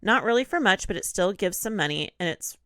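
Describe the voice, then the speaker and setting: A woman co-hosting a podcast, reading a post aloud in a relaxed, chatty way, a little nasal and congested. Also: mid-range voice, a little fast, no room sound, clean studio sound. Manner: relaxed, laughs often. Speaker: podcast co-host.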